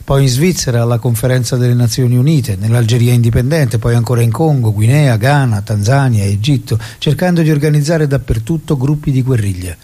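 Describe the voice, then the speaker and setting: An actor as a solemn, husky-voiced narrator. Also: male voice, mid-range voice, brisk, few pauses, mellow, natural voice. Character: solemn storyteller of a revolutionary's life. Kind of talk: dramatic scripted narration.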